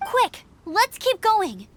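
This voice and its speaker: Plucky young female scout, very high voice, lively swings, peppy, determined, anime game. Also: takes it slowly, slight background noise, full character voice. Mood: eager, confident. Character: eager feisty young female knight scout. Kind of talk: anime-style video game acting.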